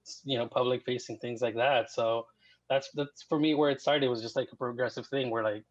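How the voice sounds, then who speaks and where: A man in his thirties from Mexico talks casually as a podcast guest, drifting through his thoughts with plenty of fillers. His voice sits at a middle pitch. The sound is dull and dry, with no room around it. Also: fairly quick, dry recording. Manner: relaxed, rambling with fillers. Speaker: guest writer. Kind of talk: casual interview. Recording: muffled dry lo-fi.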